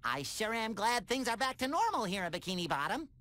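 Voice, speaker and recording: An actor playing a cheerful, naive, childlike sea sponge in a cartoon video game, with a squeaky nasal voice and earnest manner. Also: male voice, sing-song, a little fast, pushed and bright, full character voice. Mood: cheerful, curious. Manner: earnest, bubbly, innocent.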